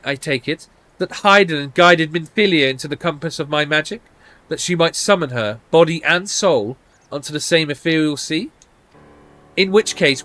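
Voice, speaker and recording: A man's voice: fairly high pitch, fairly bright tone, lightly acted. A man voicing a composed, learned mage from a fantasy game, speaking in solemn, courteous, archaic phrases. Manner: archaic, formal, measured phrasing.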